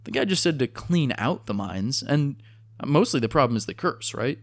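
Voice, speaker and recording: A man's voice: mid-range voice, big pitch swings, moderate pace, no echo, pitched above the performer's own voice. A man voicing a fierce, foul-mouthed female gnome adventurer who talks bluntly and sarcastically.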